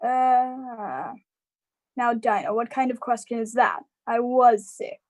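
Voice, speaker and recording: A woman's voice: fairly high pitch, darkish tone. A woman playing a lonely, sad uncle in a live radio drama, hesitant and evasive. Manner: hesitant, halting.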